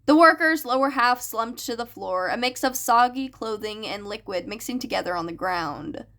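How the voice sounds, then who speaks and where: Higher-voiced woman narrating a story. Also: expressive, normal speed, pushed and bright. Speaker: fan fiction narrator. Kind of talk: scripted audiobook narration.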